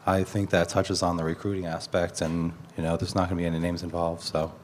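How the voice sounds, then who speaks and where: Male panelist, low voice, measured, recorded in an echoing hall with faint hiss. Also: level tone. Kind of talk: conference panel discussion.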